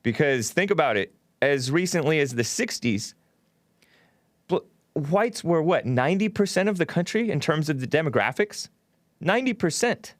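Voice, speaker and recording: A man's voice: a little high, sing-song, normal speed, dry recording. Offhand male talk show host, dry studio sound. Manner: offhand, laughs at his own remarks. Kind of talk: casual call-in radio talk.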